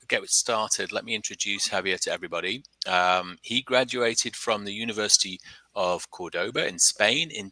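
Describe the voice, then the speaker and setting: A man hosting a webinar over a video call, introducing the guest in a friendly, slightly halting way with fillers. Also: sits low, sharp tone, dry recording, poor audio. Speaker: webinar host.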